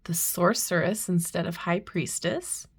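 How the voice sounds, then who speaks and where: Woman going thru a tarot deck, slow soft admiring comments, kinda low voice. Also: breathy edge. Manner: soft, admiring, unhurried remarks. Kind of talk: unboxing commentary.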